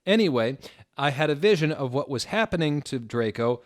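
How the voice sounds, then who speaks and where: Man acting an anguished teen vampire boy, pitched up. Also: expressive, moderate pace, dry recording, studio quality, lightly acted. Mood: earnest. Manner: wailing, overdramatic. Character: anguished, melodramatic teenage vampire boy wizard.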